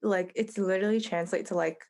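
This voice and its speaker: A woman's voice: mid-range voice, fairly even intonation, fairly quick, gravelly, warm tone. A young woman from Vietnam, a podcast guest, chatting casually and searching for words with many fillers.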